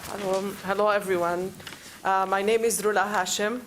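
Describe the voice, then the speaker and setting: A woman in her forties from Palestine with a Palestinian Arabic accent, making an unscripted plea at a council meeting, halting and often searching for words. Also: mid-range voice, fairly even intonation, slight background noise. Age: 40s. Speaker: public commenter at a council meeting. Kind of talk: unscripted public speech, pleading.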